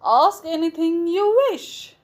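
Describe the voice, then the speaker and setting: A woman's voice: extremely high pitch, expressive, takes it slowly, voice pushed up, full character voice. A woman voicing a kindly, solemn tree god in a storybook, with a stately manner of blessing. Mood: pleased, granting. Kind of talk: storybook character voice.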